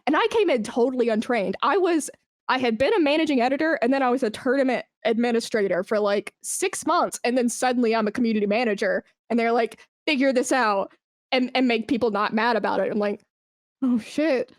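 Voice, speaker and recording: A woman chatting casually as an interview guest, telling work stories in a candid, slangy, animated way. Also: a little high, fairly quick, dry recording, clean studio sound. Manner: candid, animated storytelling with slangy asides and acted-out quotes. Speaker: esports brand manager, podcast guest.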